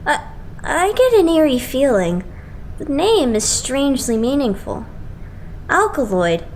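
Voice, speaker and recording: A woman voicing a blunt, excitable, skeptical young idol in an anime fandub. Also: high-pitched, unhurried, slight background noise, poor audio. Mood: puzzled. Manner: blunt, expressive. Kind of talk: anime game fandub acting.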